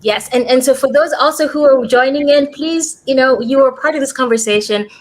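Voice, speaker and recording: A woman's voice: high voice, fairly quick. An American woman hosting a livestream podcast interview over a video call, chatty, with frequent fillers and restarts. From United States. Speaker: podcast host.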